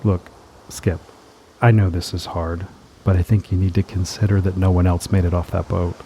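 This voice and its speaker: Self-assured, unflappable special agent. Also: male voice, low voice, little pitch movement, normal speed, mellow, a little hiss or hum. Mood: resigned. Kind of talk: comedic audio drama acting. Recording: faint background ambience.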